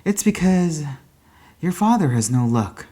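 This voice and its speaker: A man's voice: a little high, big pitch swings, dark tone, pitched above the performer's own voice. A man voicing a bitter, anxious mother from a genteel family short of money, in a storybook. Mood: bitter, resigned. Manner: slow, bitter.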